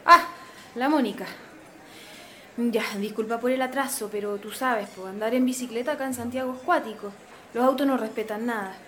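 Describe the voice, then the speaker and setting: A woman's voice: on the high side, moderate pace, fairly bright tone, close to an everyday voice. A woman with a Chilean accent playing a young, frank activist in a radio drama. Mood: casual, guarded. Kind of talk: natural radio drama dialogue.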